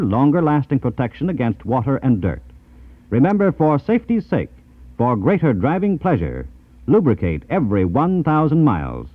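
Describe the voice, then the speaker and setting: An American man reading scripted news and sponsor messages in a formal, measured 1950s radio announcer style. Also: medium pitch, no room sound. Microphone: dry radio studio microphone. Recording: tinny, band-limited 1950s radio tape.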